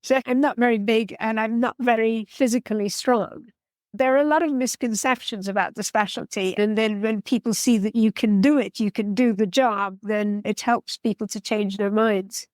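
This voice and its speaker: A woman's voice: a little high, steady tone, normal speed, dry recording. A woman from Guyana, a surgeon, answering interview questions in a plain, practical, explanatory way. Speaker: orthopedic surgeon guest.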